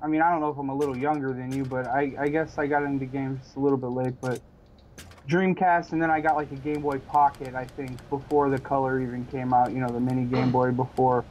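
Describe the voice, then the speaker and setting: A man's voice: medium pitch, flat delivery, fast talker, a little mellow, a little hiss or hum, low-quality recording. A man in his late twenties chatting casually and asking questions on a stream voice call. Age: 20s. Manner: curious, asks questions, hesitant restarts. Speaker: stream co-host. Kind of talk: casual chat over voice call.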